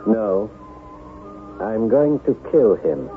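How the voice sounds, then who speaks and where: An actor playing a proud, vengeful gentleman with a formal, bitter manner in a period radio drama. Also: lowish voice, very animated, very slow, full character voice. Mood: cold, brooding. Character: proud, vengeful Parisian gentleman bent on a duel. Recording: tinny old radio broadcast, music behind.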